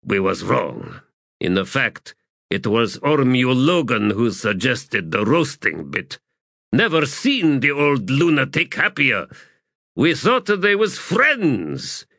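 A man's voice: mid-range voice, very animated, takes it slowly, creaky, sharp tone, dry recording, clean studio sound, voice pushed up, exaggerated voice. A man voicing a babbling, callous soldier shaman in an audiobook, with folksy bad grammar. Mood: chatty, unbothered. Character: slovenly, chatty male soldier shaman, cheerfully callous.

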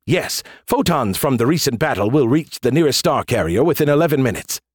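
A man's voice: medium pitch, big pitch swings, fairly quick, breathy edge, no room sound, pitched above the performer's own voice. Give this audiobook part to a smug, sarcastic machine mind acted out by a man. He brags freely and takes offense easily, in a close, dry recording. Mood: boastful. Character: snarky, boastful, petulant male artificial intelligence. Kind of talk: audiobook character dialogue.

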